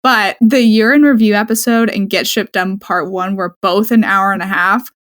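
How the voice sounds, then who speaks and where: A woman co-hosting a casual podcast in a calm, soothing voice. Her pitch sits in the middle, and she talks in an easygoing way. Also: normal speed. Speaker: podcast co-host.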